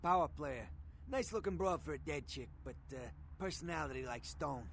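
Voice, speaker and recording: Higher-pitched streetwise fixer. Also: male voice, lively intonation, a little fast, a bit bright, slight background noise. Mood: confiding, matter-of-fact. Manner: gruff slangy tough-guy talk, matter-of-fact. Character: streetwise shady male fixer and weapons dealer. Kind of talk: video game dialogue acting.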